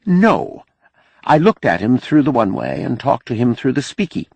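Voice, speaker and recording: A man voicing a brisk, dutiful female secretary in an audiobook. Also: mid-range voice, sing-song, vocal fry, breathy edge, no echo, pitched above the performer's own voice, full character voice. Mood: brisk, urgent.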